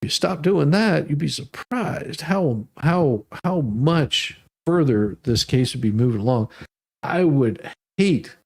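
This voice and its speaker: A man's voice: mid-range voice, a little mellow. An American man from the Detroit area hosting with rambling, salty, sardonic casual commentary. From Detroit area, United States. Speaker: host of a humorous letter-reading show.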